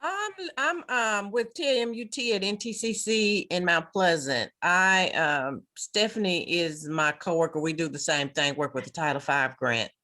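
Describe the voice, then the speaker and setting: Crisp, bright-toned woman coordinator, chatty and rambling, on a video call. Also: fairly low pitch, normal speed, few pauses. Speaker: student engagement coordinator. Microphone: video call, camera off.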